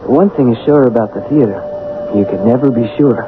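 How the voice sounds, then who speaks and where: A man's voice: mid-range voice, fairly quick, natural voice. An actor playing an affable, plain-spoken man of the theater, conversational in a 1970s radio drama. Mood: narrating. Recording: tinny old broadcast with music behind.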